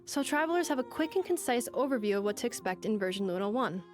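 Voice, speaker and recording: A woman's voice: a little high, expressive, fast. A woman co-hosting a game program, reading a scripted recap for players. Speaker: co-host of game special program. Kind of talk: scripted presenting. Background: faint music.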